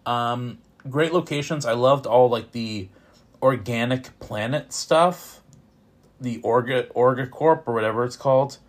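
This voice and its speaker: A man reviewing films in casual, unscripted talk, opinionated and informal, with a halting delivery that repeats words as he goes. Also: mid-range voice. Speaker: film reviewer.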